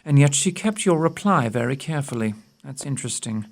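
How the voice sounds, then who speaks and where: Brisk gentleman detective, radio play, confident n probing, polite, mid pitch. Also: lively intonation, creaky. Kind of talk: audio theatre acting.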